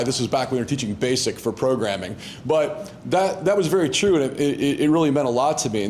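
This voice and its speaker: A man's voice: fairly high pitch, a little mellow, slight background noise. A middle-aged man giving a keynote to students in a conversational way, loose and chatty, with frequent fillers and restarts. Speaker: keynote speaker. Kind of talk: casual, conversational talk to students. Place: university event hall.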